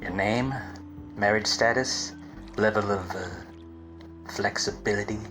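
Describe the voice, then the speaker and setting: An actor playing a wise-cracking but obtuse hard-boiled private detective in a parody of 1940s radio drama, with wry, self-important delivery. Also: male voice, fairly low pitch, fairly even intonation, unhurried, a bit bright, faint music behind, low-quality recording. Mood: flirtatious.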